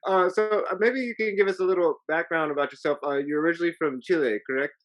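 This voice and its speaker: A man hosting a relaxed interview, speaking in a fairly high voice. He is friendly and chatty, and he stumbles and restarts as he asks his questions. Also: fast, highs cut off. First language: English. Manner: friendly, stammering restarts, laughs often. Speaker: interview host. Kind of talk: casual interview.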